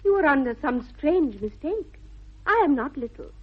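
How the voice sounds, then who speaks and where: A woman's voice: high-pitched, big pitch swings, normal speed. An actress playing a sly, artless young upper-class English girl in a precise, demure 1960s radio comedy. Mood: prim teasing. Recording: muffled, band-limited old radio broadcast.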